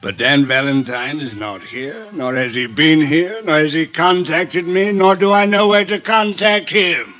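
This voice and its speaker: A man's voice: fairly high pitch, unhurried, few pauses, lightly acted. An actor playing a bitter, drunk older man with florid, formal, theatrical speech in a 1950s radio drama. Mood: melancholy, weary. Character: bitter drunken aging former friend of a racketeer, world-weary. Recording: dull vintage radio recording, music behind.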